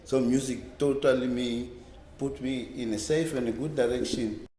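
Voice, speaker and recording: A man's voice: medium pitch, fairly even intonation, warm tone, a little hiss or hum. South African man in his fifties, echoey room. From South Africa, Cape Town township. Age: 50s. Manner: halting, repeats words, rambling recollection. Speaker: interview guest, reggae bass player. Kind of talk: casual oral-history interview. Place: indoors in a library room. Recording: roomy, echoey indoor sound.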